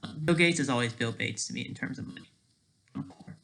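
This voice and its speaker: A person thinking aloud in a casual, digressive commentary over study notes, halting often and talking in an informal, self-deprecating way. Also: medium pitch, gravelly, bright, forceful tone. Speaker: solo online commentator.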